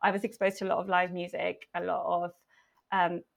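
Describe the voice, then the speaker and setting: A woman's voice: lowish voice, flat delivery, a little fast, gravelly. A woman from Switzerland who plays in a band, chatting in an interview and laughing often between phrases. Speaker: band member. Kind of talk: casual interview chat.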